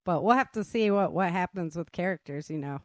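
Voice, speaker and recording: A woman's voice: fairly low pitch, a little fast, no room sound, studio quality. A woman hosting a casual group chat, talking in a chatty, giggly way. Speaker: host and author of an audio drama.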